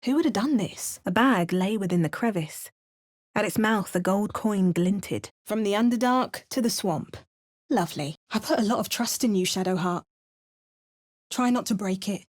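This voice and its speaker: A woman plays a wry female game adventurer at a mid-range pitch. She gives understated remarks and calm storybook narration. It is recorded close and dry in a studio. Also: no echo, lightly acted. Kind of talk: video game voice acting. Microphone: close studio mic.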